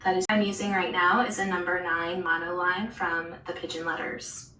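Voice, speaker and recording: A woman hosting a live online art class, chatting softly and answering viewers while she draws. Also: fairly low pitch, flat delivery, moderate pace, slight background noise. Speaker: host and art instructor. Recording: roomy, distant live-stream audio.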